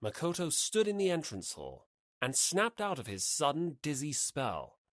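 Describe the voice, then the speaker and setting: Expressive male audiobook narrator, lively pitch. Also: moderate pace, no room sound, studio quality. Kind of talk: scripted prose narration and reading of notes.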